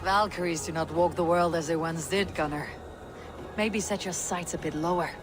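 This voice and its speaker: A woman's voice: on the low side, steady tone, moderate pace, over music, close to an everyday voice. A woman playing a proud, defiant young Viking warrior in a video game, speaking bluntly and tersely. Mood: calm. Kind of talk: video game character acting.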